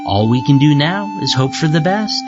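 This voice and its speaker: A humble, hard-working cobbler from a children's storybook, as a narrator puts him on. He speaks gently and folksily with lively intonation, over clear music in a slightly dull recording. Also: medium pitch, normal speed, close to an everyday voice. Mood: hopeful. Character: weary, kindly, hopeful hard-working old-fashioned male cobbler. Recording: muffled lo-fi audio with music behind.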